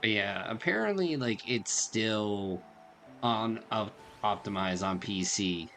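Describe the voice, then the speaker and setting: A man's voice: fairly low pitch, expressive, slow, creaky, fairly bright tone. A man giving casual, slangy playthrough commentary, reacting to a game and reading bits of dialogue aloud. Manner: laid-back, slangy, reactive. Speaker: game streamer. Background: faint game music.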